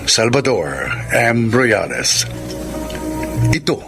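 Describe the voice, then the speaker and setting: A man's voice: medium pitch, big pitch swings, extremely slow, vocal fry. A man announcing a radio drama in a formal, theatrical, scripted style. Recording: music bed under the voice.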